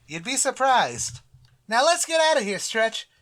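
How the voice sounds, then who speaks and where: Cocky teen troublemaker, radio sitcom, voice way up high and bright. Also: male voice, big pitch swings, unhurried, heavily acted. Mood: gleeful, cocky. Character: cocky, mischievous teenage schoolboy prankster. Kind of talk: old-time radio sitcom acting.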